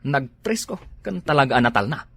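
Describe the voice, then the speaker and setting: A man's voice: mid-range voice, big pitch swings, fairly quick, vocal fry, a bit bright, slightly rough, no echo, full character voice. A man with an Ilocano accent playing an agreeable, easygoing drinking buddy in a comedy drama.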